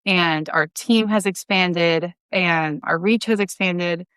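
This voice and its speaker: A woman in her thirties with a slightly low, smooth and clear voice. She talks casually and explains in a chatty way, like an interview guest, in a close, dry recording. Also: slow pace, a bit bright, no echo. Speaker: guest, audio drama creator.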